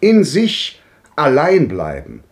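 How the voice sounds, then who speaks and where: An old brooding philosopher. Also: male voice, fairly high pitch, wildly swooping pitch, slow pace, heavily acted. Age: older. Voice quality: declamatory, sweeping swings between loud and hushed. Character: old man, brooding philosopher, grandly bitter. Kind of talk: 1950s radio drama acting.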